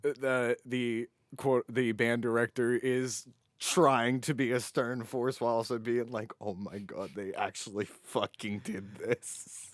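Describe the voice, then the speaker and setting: A man's voice: medium pitch, level tone, unhurried, no room sound, studio quality. A man co-hosting a podcast, telling anecdotes in a jokey, informal way with some swearing. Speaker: podcast co-host. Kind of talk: casual chat, telling anecdotes.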